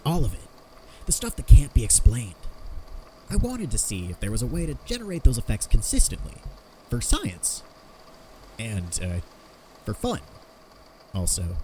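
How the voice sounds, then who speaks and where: A man's voice: mid-range voice, huge pitch swings, normal speed, long pauses, warm tone, slight background noise, low-quality recording. A man playing an eager, self-deprecating amateur inventor who rambles through explanations in a conversational fan audio drama. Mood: sheepish, earnest. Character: eager amateur inventor explaining his homemade theory of strange phenomena.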